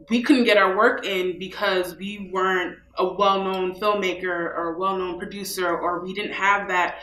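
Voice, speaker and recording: A woman's voice: mid-range voice, pushed and bright, reverberant, rough recording. A young woman from New York, a film festival co-founder, speaking in a casual interview with many fillers. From New York, USA. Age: young adult.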